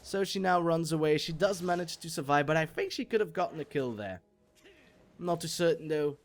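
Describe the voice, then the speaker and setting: A young man from Belgium, an English speaker, doing jokey solo commentary on a game replay and getting loud on exciting plays. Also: on the high side, brisk, faint music behind. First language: not English. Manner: jokey, self-mocking, rises sharply on exciting plays. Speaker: esports shoutcaster.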